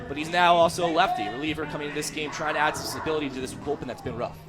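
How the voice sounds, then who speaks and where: Young American male color commentator, lightly high voice. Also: fairly even intonation, rapid-fire, over music. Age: young adult. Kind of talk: live radio sports commentary, analysis between plays.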